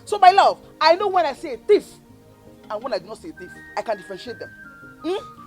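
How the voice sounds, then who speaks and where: A woman's voice: sits high, sing-song, fast, soft background music. An actress playing a scornful older sister who bullies and accuses her sibling. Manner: taunting, shouting insults.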